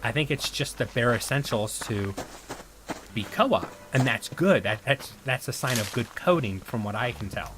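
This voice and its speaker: A man talking his viewers through a game in a rambling, easygoing way, his voice worn and starting to go. His pitch sits in an ordinary middle range. A steady hiss of noise and mouse clicks runs under the recording. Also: normal speed. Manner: rambling, chatty, talks to viewers while playing. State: losing his voice. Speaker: gaming video host. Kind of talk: casual gameplay commentary.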